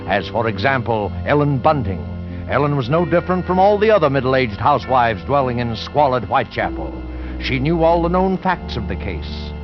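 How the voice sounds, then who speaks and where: An actor playing a mysterious, ominous narrator, dramatic and formal, in 1940s radio suspense style. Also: male voice, medium pitch, close to an everyday voice. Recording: dull 1940s radio recording. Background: prominent music.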